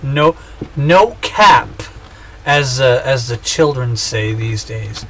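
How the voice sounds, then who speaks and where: A man's voice: medium pitch, lively intonation, slow, a bit bright, slight background noise, low-quality recording. A man in his thirties chatting casually on a gaming livestream, joking and rambling with self-mocking humor. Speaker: streamer.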